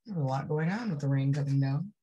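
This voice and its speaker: A woman reading a picture book aloud to young children, expressively, with drawn-out phrases and chatty asides that put questions to the listener. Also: deep voice, dark tone, breathy edge, dull audio. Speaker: storybook reader.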